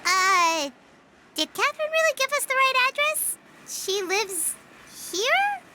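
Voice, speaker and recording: A woman voicing a tiny, cheeky, excitable fairy companion in a video game, with a squeaky childlike voice. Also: takes it slowly, heavy background noise, heavily acted. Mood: chatty, doubtful. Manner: refers to self in third person, blurts things out. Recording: loud game music behind the voice.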